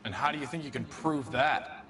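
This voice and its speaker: An actor playing a defiant, evasive activist worker under police questioning, answering in a curt, scornful, defensive way. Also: male voice, medium pitch, normal speed, close to an everyday voice. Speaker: video game suspect. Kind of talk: dramatic interrogation acting. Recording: music under the dialogue.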